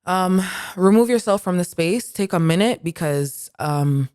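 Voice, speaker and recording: A woman with a very low voice co-hosting a podcast. She talks in an animated, reflective way, full of "like" fillers. Her voice is close-miked and dry, in a quiet room. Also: a little slow, clean tone, no room sound. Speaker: podcast co-host. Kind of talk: casual conversation.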